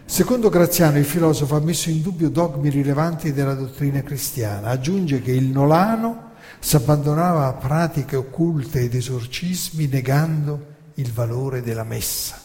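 A man narrating history as scripted dramatic storytelling, in a theatrical, measured way with rhetorical pauses and emphasis. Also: fairly high pitch, mellow. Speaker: narrator and author of a radio theatre monologue. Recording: long reverberant hall sound.

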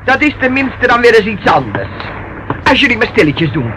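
A woman's voice: fairly high pitch, sing-song, normal speed. An actress playing a sardonic, unflustered and evasive hotel landlady in a radio play, sarcastically polite and defiant. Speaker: radio drama actor. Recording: noisy old radio drama recording.

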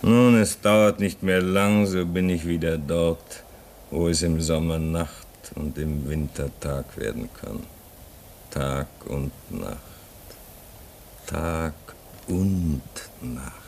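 A man's voice: rumbling low, creaky, a little mellow, slight background noise, heavily acted. An actor playing a weary, homesick man stuck at a polar station, speaking in a brooding, bitter way. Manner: brooding, bitter, slow and drawn out. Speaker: radio drama actor. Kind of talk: 1950s-style German radio play acting.

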